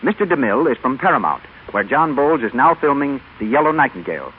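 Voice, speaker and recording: A man's voice: medium pitch, sing-song, quick pace. A man working as a radio announcer, reading formal scripted credits in a 1930s style. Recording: tinny, noisy 1930s radio broadcast.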